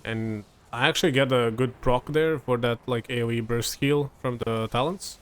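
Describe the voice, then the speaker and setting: A man's voice: medium pitch, moderate pace. Chatty Serbian man explaining gameplay. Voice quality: relaxed, chatty, many fillers and restarts. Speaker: gameplay commentator. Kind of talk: casual gameplay commentary.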